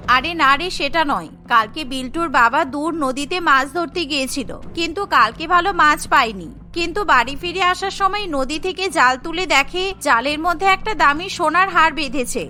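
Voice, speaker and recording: A woman's voice: high voice, moderate pace, few pauses, bright, forceful tone, soft background music. A woman voicing a chatty village fisherman's wife in a cartoon, explaining things in a gossipy way. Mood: pleased, chatty.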